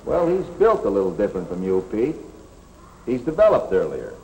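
A man's voice: lowish voice, huge pitch swings, normal speed, gravelly, warm tone, full character voice. A man playing a patient, plain-spoken middle-aged school coach in a 1950s educational film, explaining things earnestly. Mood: reassuring. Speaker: coach and narrator. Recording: echoey, noisy 1950s film soundtrack.

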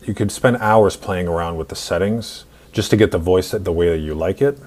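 A man in his forties narrating a screen-recorded software tutorial in an instructional, step-by-step way. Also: low-pitched, moderate pace. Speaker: tutorial host.

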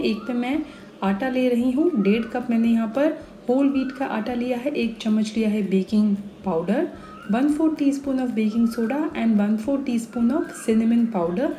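A young woman talks through a recipe in a calm voice-over, step by step. Her voice sits a little high, and it sounds warm and mellow. Soft music plays faintly underneath. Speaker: cooking channel host.

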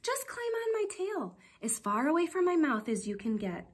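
A woman voicing a sly male fox in a storybook, speaking in a smooth, coaxing way. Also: high-pitched, sing-song, moderate pace.

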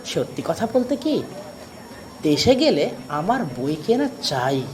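A man's voice: high voice, big pitch swings, slow pace, darkish tone, slight background noise, full character voice. A man playing a bookish, brooding factory manager in an audio story, talking to his friend in theatrical phrases. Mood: chatty, eager. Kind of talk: audio story character acting.

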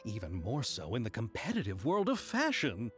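A man's voice: mid-range voice, huge pitch swings, normal speed. A man voicing a polite, earnest young film director in a game. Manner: polite, thoughtful. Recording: game audio with music behind.

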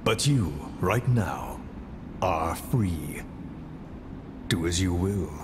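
An actor playing a cold, menacing interrogator in a game cutscene, speaking in measured short phrases. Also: male voice, low voice, lively intonation, background noise, full character voice. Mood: solemn. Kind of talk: video game cutscene acting.

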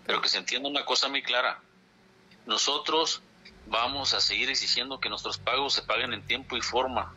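An indignant man presses his demands over a telephone line, hammering the same points again and again. His voice is husky but strikingly bright and sharp, with a forceful edge. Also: medium pitch, a little fast. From Chihuahua, Mexico. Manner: indignant, insistent, repeats for emphasis. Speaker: dairy farmers' leader. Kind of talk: phone interview statement. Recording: phone line.

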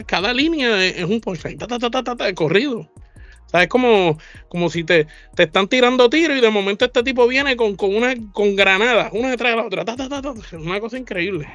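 A man's voice: sits high, fairly bright tone, dry recording, muffled recording. A man with a Puerto Rican accent giving emphatic opinions in a casual podcast chat, piling up repeated words. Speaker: podcast co-host. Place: indoor room, remote video call.

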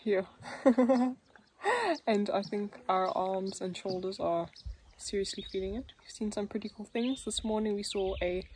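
A woman's voice: mid-range voice, fairly even intonation, measured pace, a little mellow, a little hiss or hum. A young woman vlogging outdoors on a hiking and kayaking trip, talking cheerfully into a phone held at arm's length and laughing often. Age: young adult. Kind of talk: casual vlog narration. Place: outdoors on a river and bush trail.

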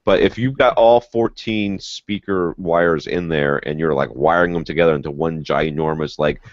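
Tired deep-voiced man, losing his voice. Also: moderate pace, no echo. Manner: tired, wrapping up. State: losing his voice, feeling sick. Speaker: podcast host. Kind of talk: casual podcast chat. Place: home room, in armchair. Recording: dry, slightly dull video-call audio.

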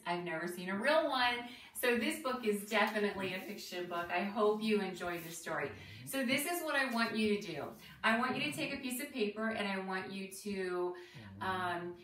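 A middle-aged woman giving an expressive read-aloud lesson for young children, deliberate and sing-song, putting questions to them. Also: mid-range voice. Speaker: teacher reading a picture book aloud. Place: small indoor room with decorated wall, seated on floor. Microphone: camera a few feet away. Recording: roomy, distant mic, light background noise.

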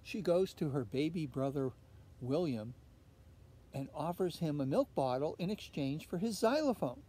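An older man reading a picture book aloud outdoors in an expressive way, putting questions to young listeners. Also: on the high side, normal speed, breathy edge. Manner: expressive, asks tag questions. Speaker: storybook reader. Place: outdoors in a leafy fenced yard. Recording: outdoor recording with faint ambient noise.

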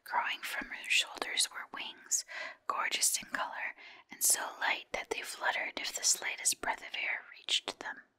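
A woman narrating a storybook in a breathy, unvoiced whisper, like ASMR. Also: a little slow, bright, forceful tone. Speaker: audiobook reader.

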